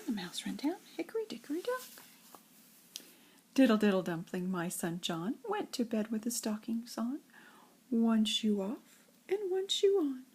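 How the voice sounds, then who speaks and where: An older woman with a breathy, husky voice reads nursery rhymes like a grandmother, in an expressive singsong storytelling way. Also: high-pitched. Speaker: grandmother reading to children.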